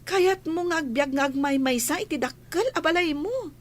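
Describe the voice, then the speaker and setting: A woman's voice: high-pitched, normal speed, natural voice. A woman playing a kind young grown daughter in a radio drama, speaking in an earnest, pleading way. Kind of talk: Ilocano radio drama acting.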